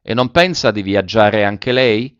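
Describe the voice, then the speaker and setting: A man reading the part of a reserved, awkward young gentleman suitor in a story, polite and brief. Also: on the low side, measured pace, smooth, no echo, highs cut off.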